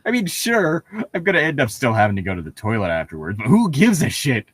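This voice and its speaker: A man's voice: medium pitch, huge pitch swings, fast talker, husky, dry recording, clean studio sound. A man bantering casually as a co-host, jokey and opinionated, swearing freely. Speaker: co-host reacting to a fan fiction reading.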